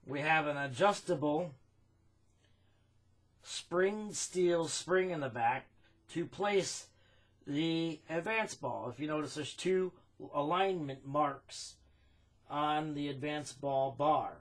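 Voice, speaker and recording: A man with an American accent giving an informal technical explanation as a demonstrator, in a deliberate, halting way. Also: a little high. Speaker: phonograph recording demonstrator.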